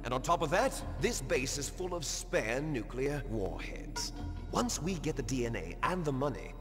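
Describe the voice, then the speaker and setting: A man's voice: mid-range voice, sing-song, moderate pace, big-room sound, over music, lightly acted. A man voicing a ruthless, commanding terrorist leader in a video game, boastful and menacing. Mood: scheming.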